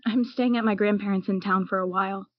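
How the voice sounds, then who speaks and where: A woman's voice: medium pitch, steady tone, quick pace, lightly acted. A woman playing a mysterious, direct young stranger in an amateur audio drama. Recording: dull lo-fi recording with music.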